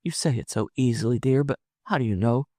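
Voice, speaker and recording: A male narrator playing a tender young heroine of a frontier storybook. The voice is lifted higher, soft and hesitant, pleading anxiously with a lover in a dry recording. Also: fairly quick, no echo, close to an everyday voice. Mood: tender, doubting. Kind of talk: audiobook character dialogue.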